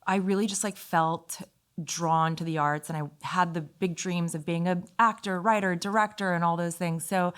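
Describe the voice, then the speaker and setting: A woman with a slightly low voice speaks as a podcast guest. She tells personal stories casually and informally, with an animated way of acting out remembered conversations. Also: clear, resonant tone. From Italy. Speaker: podcast guest, fitness instructor.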